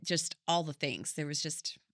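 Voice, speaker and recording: A woman's voice: low voice, moderate pace, fairly bright tone, dry recording, clean studio sound. A middle-aged woman, a singer and vocal coach, thoughtfully telling her story as a podcast guest in long, winding sentences. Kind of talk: reflective conversational storytelling.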